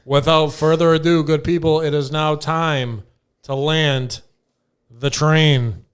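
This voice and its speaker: A man's voice: on the high side, steady tone, slow, clean tone. A man hosting a podcast in a casual, conversational style. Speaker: podcast host.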